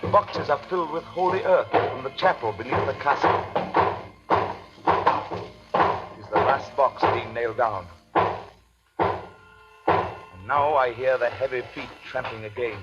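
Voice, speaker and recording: An actor playing an anxious, imprisoned Englishman who recounts his diary gravely and fearfully in a 1930s radio drama. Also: medium pitch, a little slow, pauses often, loud music behind the voice, close to an everyday voice. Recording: dull 1930s radio recording.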